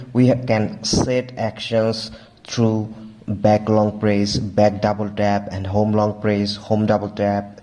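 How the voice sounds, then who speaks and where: A man giving a casual, halting walkthrough as a tech reviewer, often repeating stock phrases. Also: lowish voice, a little mellow, poor audio. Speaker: tech reviewer, video host.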